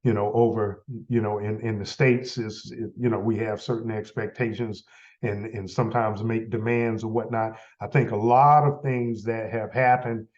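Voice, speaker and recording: A man with a cold, sounding a little stuffy, leading a members' meeting in a halting, filler-heavy way. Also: lowish voice, level tone, a little mellow, muffled recording. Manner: halting, many fillers and restarts. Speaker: association leader running a meeting. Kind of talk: casual meeting update.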